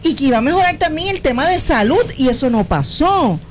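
A woman's voice: sits high, sing-song, brisk, a loud crowd. A woman co-hosting a radio talk show, pressing a caller with pointed questions. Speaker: radio co-host.

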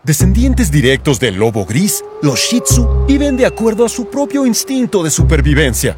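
A male announcer reads a radio ad with a playful, dramatic delivery and a lively, swinging pitch. Music plays clearly behind him. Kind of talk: radio ad narration.

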